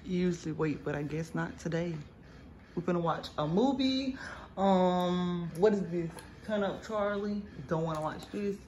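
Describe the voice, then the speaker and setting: A woman's voice: on the low side, moderate pace, darkish tone. A young woman, chatting to viewers in a casual phone vlog about her food and her day. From Florida, US. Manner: chatty, talks straight to viewers as y'all. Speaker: vlogger. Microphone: phone camera held at arm's length. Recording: noisy phone audio.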